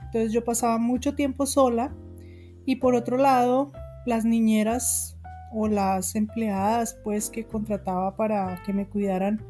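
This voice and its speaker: A woman's voice: on the high side, fairly even intonation, a little slow, warm tone, faint music behind. A Colombian woman in her forties with a Bogotá accent, a guest writer talking casually in an interview, chatty with frequent laughs and fillers. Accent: Bogotá Colombian Spanish. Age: 40s.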